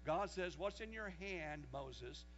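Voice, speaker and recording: A man in his sixties preaching a sermon, reading scripture aloud and rising loud when he quotes it. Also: a little high, expressive, slow. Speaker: pastor. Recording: dull lo-fi sermon recording with mains hum.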